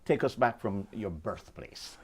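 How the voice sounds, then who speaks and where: A man interviewing a guest on television, playful and affirming, prompting him warmly with questions. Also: on the low side, expressive, measured pace, slight background noise. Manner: playful, affirming, laughs often.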